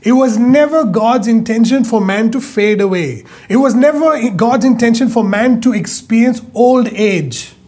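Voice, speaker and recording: A man preaching a sermon, emphatic, repeating phrases and drawing out key words slowly. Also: extremely high pitch, a little mellow.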